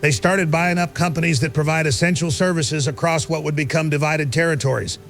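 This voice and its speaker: Sober analyst, slightly high voice. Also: male voice, steady tone, a little fast, a bit bright, soft background music. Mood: sober, explanatory. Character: sober male analyst of a corrupt corporation. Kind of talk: audio drama interview.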